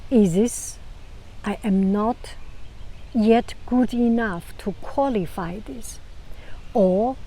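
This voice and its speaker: A woman with a Chinese accent and a low, soft voice giving calm, casual advice to camera, often restarting phrases and sometimes dropping to a whisper. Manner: calm, unhurried, many restarts. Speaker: vlogger.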